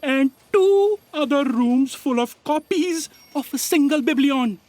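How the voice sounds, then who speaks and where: A woman's voice: sits high, unhurried, a little mellow, no room sound. A woman playing a sardonic, put-upon office aide in a comic sci-fi audio drama, sarcastic and exasperated.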